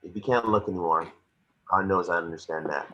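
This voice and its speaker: A man's voice: sits low, fairly quick, sharp tone, slight background noise. A man playing a calculating, plain-spoken crime investigator boss in a script table read, insistent in his delivery. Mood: coaxing. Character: middle-aged male crime investigator boss, persuasive and calculating. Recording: muffled, roomy video-call audio.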